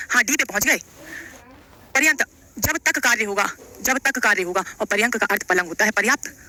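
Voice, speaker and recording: A woman with a very sharp, forceful, high voice, teaching an online class. She explains in an instructive, repetitive way and often questions her students, with a little noise behind her. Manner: instructive, repeats phrases, addresses students affectionately with questions. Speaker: Hindi grammar teacher for exam coaching. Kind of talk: live online lesson, explaining quiz questions.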